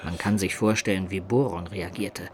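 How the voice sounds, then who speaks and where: An actor playing a storyteller who recounts his medieval adventures as measured radio play narration. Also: sits low, steady tone, a little mellow, a little hiss or hum, natural voice. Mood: recounting.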